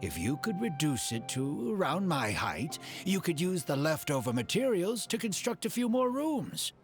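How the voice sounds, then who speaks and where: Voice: medium pitch, sing-song, normal speed, faint music behind. A voice actor playing a hard-to-please client in a video game, polite and hesitant while asking for changes. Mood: fussy. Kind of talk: game voice acting.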